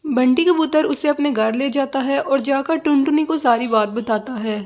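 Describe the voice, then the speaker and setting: High-pitched woman narrating a cartoon story. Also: normal speed, darkish tone.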